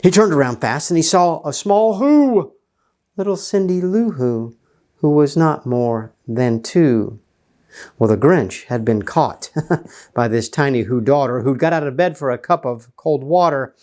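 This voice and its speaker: Animated male storyteller with swooping pitch. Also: unhurried. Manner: theatrical, emphatic, addressing children. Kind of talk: animated children's storybook reading.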